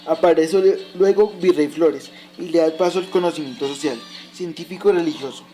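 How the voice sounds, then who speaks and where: Man playing a high-voiced father teaching history. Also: a little fast, mellow, faint music behind, lightly acted, rough recording. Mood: explanatory. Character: patient father teaching his son history. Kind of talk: scripted educational radio drama.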